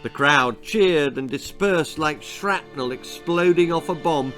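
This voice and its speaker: A man reading a children's horror-comedy story as its author, in a theatrical, relishing, deliberate manner. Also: on the high side, over music. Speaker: author reading own stories aloud.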